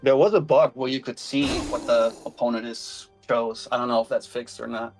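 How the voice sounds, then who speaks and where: A man in casual gaming chat, calm and matter-of-fact. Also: mid-range voice, moderate pace, soft background music, low-quality recording.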